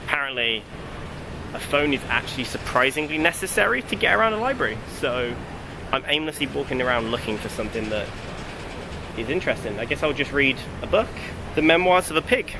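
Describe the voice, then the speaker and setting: A man's voice: mid-range voice, sing-song, a little fast, noisy background. A man presenting casually and informally, with a wry, self-deprecating manner. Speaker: student documentary presenter. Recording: music behind location audio. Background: music.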